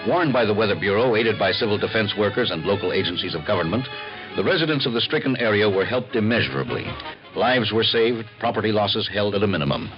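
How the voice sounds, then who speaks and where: An American man playing an authoritative explainer, formal and measured, in mid-century radio documentary style. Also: lowish voice, expressive, natural voice. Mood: formal, reassuring. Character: authoritative male explainer of civil defense procedures. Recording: muffled old radio recording, loud music.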